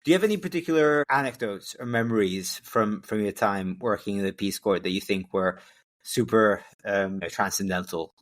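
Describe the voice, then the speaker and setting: A man's voice: on the low side, normal speed. A young man who grew up in Costa Rica and Hungary, hosting a podcast and asking curious follow-up questions. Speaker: podcast host. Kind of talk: casual interview conversation.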